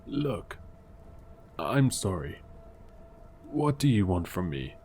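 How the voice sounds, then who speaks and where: Man playing a grumpy old shop guy, low voice, slow, blunt and stubborn. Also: very animated, a little mellow, a little hiss or hum, exaggerated voice. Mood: defensive. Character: gruff, defensive old male shopkeeper.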